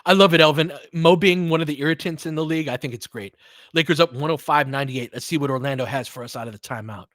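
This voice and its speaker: A man's voice: fairly high pitch, fast, a bit bright, dry recording. Guy doing casual basketball play by play, chatty analytical fan talk, close dry audio. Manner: conversational, analytical fan talk with mild slang. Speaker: play-by-play commentator and host. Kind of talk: casual live basketball commentary, chatting with listeners.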